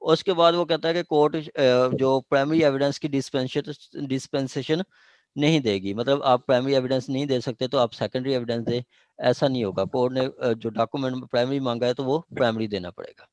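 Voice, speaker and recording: A man's voice: medium pitch, brisk, darkish tone, dry recording. A man with a Pakistani accent giving an informal online law lecture, explaining by repeating points and checking that listeners follow. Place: online meeting.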